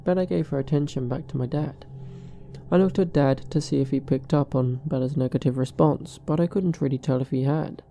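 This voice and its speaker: A woman reading a scripted first-person horror story in a measured storytelling manner. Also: rumbling low, vocal fry, mellow, a little hiss or hum.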